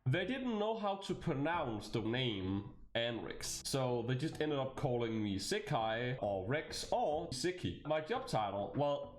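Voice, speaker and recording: Chatty Danish man, lively swings in pitch. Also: measured pace, hardly any pauses, roomy sound. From Denmark. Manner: chatty, animated, jokey with bursts of laughter. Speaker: VTuber. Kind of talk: casual self-introduction video.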